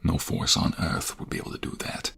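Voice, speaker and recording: A man playing a protective ex-mobster in an audio roleplay drama, husky and breathy, defiant with others and tender with his fiancée. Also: fairly quick, bright, forceful tone, close to an everyday voice. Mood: tender, soothing. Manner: intense, protective.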